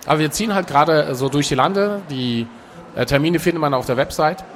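A man talking casually as a guest in an interview, relaxed and chatty, with frequent filler sounds. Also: on the high side, a little fast, slight background noise. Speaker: author and guest.

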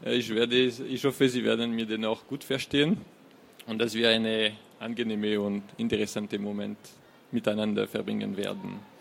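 A man's voice: on the low side, little pitch movement, normal speed, vocal fry, a little hiss or hum. A young man from France with a French accent, a non-native German speaker giving a hesitant live talk at a book fair. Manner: hesitant, many filler sounds. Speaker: guest author. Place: fair hall stage.

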